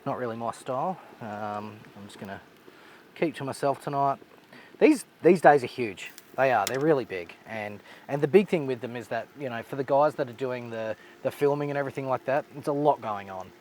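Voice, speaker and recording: A man with an Australian accent vlogging casually at an outdoor bush campsite while he cooks, rambling and relaxed, a little snuffly from a blocked nose. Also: medium pitch, brisk, slight background noise. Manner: relaxed, rambling, laid-back slang. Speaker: overlanding vlogger. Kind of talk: casual outdoor vlog narration while cooking.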